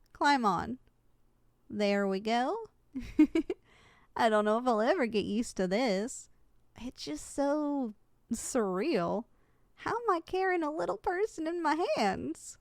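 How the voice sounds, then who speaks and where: A young woman playing a kind, caring giantess in an ASMR roleplay, speaking in a gentle, affectionate, conversational way. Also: sits high, lively intonation, takes it slowly, no room sound, clean studio sound, full character voice. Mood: caring, tender. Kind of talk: ASMR roleplay, intimate character acting.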